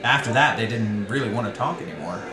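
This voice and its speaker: A man's voice: lowish voice, lively intonation, fast talker, natural voice. A man reading the part of a flippant, wry dinner companion in a novel, in a dry conversational manner. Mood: teasing, intense. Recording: roomy reading with music behind.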